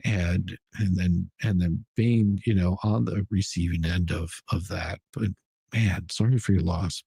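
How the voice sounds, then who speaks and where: A man's voice: low voice, fairly even intonation, unhurried, gravelly, warm tone, breathy edge, no echo. A man hosting a casual livestream, commenting in a mocking, sarcastic way and rambling through repeated phrases as he chats with viewers. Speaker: livestream host.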